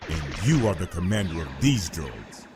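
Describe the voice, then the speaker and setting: A man's voice: sits low, sing-song, moderate pace, creaky, heavily acted. A man playing a stern, righteous Jedi master in a comic dub, speaking in a grave, resolute, formal manner. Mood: guarded. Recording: faint music behind.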